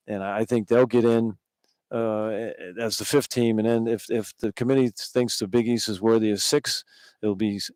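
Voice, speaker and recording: A man's voice: fairly low pitch, a little mellow, dry recording, rough recording. An older man, a former player working as a radio color analyst, giving plainspoken, casual post-game analysis and often repeating words as he goes.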